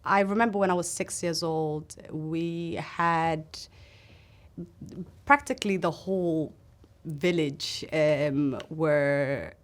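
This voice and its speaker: A woman from Somalia telling her own story in a sit-down interview, in an earnest, halting way. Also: sits low, fairly even intonation. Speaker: interview guest. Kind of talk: personal testimony in a sit-down interview.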